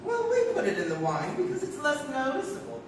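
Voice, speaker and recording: A woman's voice: high voice, wildly swooping pitch, brisk, heavily acted. A woman playing a dotty, kindly elderly aunt in a live stage comedy, genteel and unruffled. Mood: placid, chatty. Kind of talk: stage comedy radio-theatre acting. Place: live theatre, distant microphone. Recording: roomy, noisy stage recording from far off.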